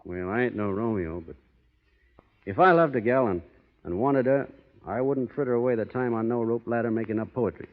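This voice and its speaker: An actor playing a plainspoken, stubborn, honorable cowboy with a drawling American Western accent in an old radio western. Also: male voice, medium pitch, fairly quick, phone-quality sound, natural voice. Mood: bold, courting. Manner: drawling folksy cowboy talk, understated. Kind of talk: 1930s-40s radio western acting. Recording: boxy old radio recording, hall reverb.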